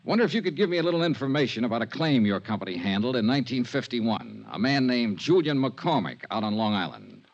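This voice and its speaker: Cool male investigator, lively intonation, terse, skeptical, matter-of-fact, 1950s radio drama, dull vintage broadcast. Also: mid-range voice, fast, hardly any pauses. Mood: businesslike. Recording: muffled old radio broadcast.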